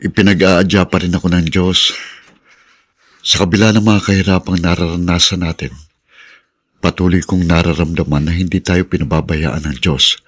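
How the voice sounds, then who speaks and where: A man's voice: low-pitched, fairly even intonation, normal speed, a bit bright, slightly rough. An actor playing a hopeful, devout, flirtatious man with a Filipino accent in a radio drama. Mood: grateful, hopeful.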